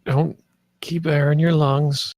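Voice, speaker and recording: A man's voice: fairly high pitch, level tone, slow pace, a little mellow, no echo, studio quality. A man playing a wry, self-interested space mercenary in a screenplay table read. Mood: desperate.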